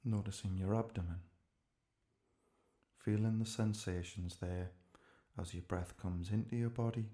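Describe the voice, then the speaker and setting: A man gently leading a guided relaxation meditation in a calm, measured way, leaving pauses between short phrases. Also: fairly low pitch.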